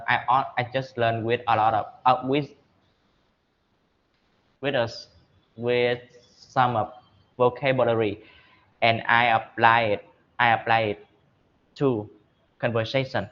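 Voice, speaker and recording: A Vietnamese-accented man practices spoken English at a slow pace. He halts often and repeats phrases as he searches for the next word. His medium-pitched voice comes through a somewhat muffled, lo-fi recording. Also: flat delivery. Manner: halting, repeats phrases while searching for words. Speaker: English learner. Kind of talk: speaking practice in an English lesson.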